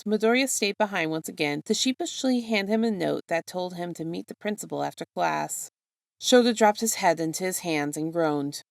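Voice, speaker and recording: A woman narrates a story in a low voice with plenty of creak. Her scripted reading is expressive, and the sound is close and dry. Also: no echo. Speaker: narrator reading fan fiction.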